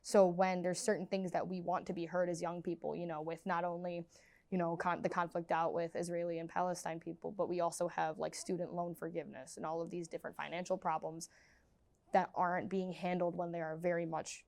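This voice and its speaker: A woman's voice: lowish voice, level tone, brisk, gravelly, a little mellow. A woman in her late teens, a student, giving casual answers in an interview.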